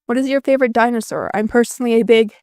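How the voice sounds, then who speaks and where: Young woman, Finnish native, smooth resonant voice, fairly high, dry jokes. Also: racing, a little mellow, no echo. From Finland. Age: young adult. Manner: self-deprecating, dry jokes. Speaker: YouTuber. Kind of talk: casual Q&A voice-over.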